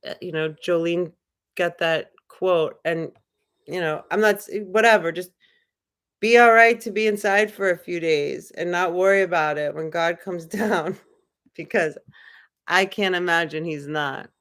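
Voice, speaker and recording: A woman's voice: on the low side, measured pace, a bit bright, clear, resonant tone. A young woman hosting a casual livestream, rambling and musing in a loose, spiritual way with many filler words. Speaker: livestream host. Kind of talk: casual livestream chat, reading viewer comments.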